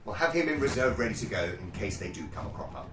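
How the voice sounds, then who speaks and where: Man acting a brisk British army captain, lively delivery. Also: fairly low pitch, noisy background. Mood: brisk authority. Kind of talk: war radio play acting. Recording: roomy, distant mic with background sound bed.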